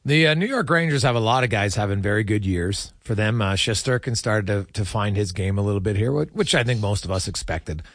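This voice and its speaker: A man's voice: lowish voice, expressive, a little fast, hardly any pauses, fairly bright tone, no room sound, studio quality. A man hosting a casual sports talk show, conversational and often checking in with his guest.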